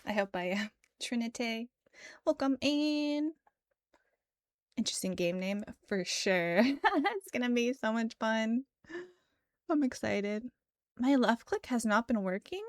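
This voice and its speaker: Female streamer, higher-pitched and unhurried, bubbly and friendly, casual greetings to chat, close dry sound. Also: no echo. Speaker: livestreamer, host. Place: indoor livestream setup.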